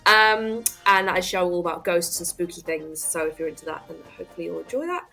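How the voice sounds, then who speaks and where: A woman's voice: lowish voice, animated, fast, creaky, sharp tone, faint music behind, poor audio. A woman comedian guest chatting casually on a podcast, wry and self-deprecating.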